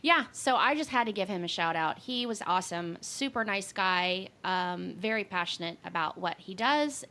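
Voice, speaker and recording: A woman's voice: medium pitch, pushed and bright. Brief: female podcast co-host, casual, rambling, um-heavy, home office mic. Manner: rambling, many ums, swears casually.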